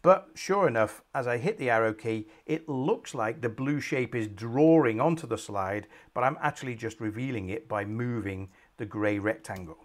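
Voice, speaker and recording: A middle-aged man presenting a screen-recorded software tutorial from a small home office, calmly walking through each step with frequent ums. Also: medium pitch, moderate pace, few pauses.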